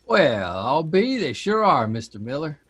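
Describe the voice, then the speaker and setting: A farm family's grown son in a radio play. His voice sits a little high, and he talks in a mild, folksy, good-natured and curious way. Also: sing-song, measured pace, fairly bright tone, slightly rough, full character voice. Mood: curious, amused. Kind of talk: audio drama acting, conversational.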